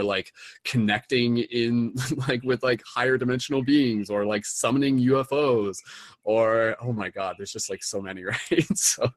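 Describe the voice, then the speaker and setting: Low-voiced young man hosting, rambly. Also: no room sound. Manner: rambling, many "like" and "um" fillers. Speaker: host. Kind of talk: casual online class hosting.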